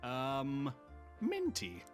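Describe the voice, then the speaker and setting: A man's voice: medium pitch, wildly swooping pitch, very slow, soft background music. A man chatting casually as a guest player in a party game. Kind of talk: casual chat, hesitant answering.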